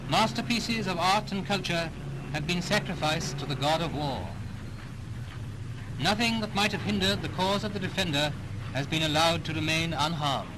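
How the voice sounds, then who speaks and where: A man's voice: fairly high pitch, moderate pace. A British man reading scripted 1930s newsreel narration in a formal, dramatic, declamatory manner on an old, noisy soundtrack.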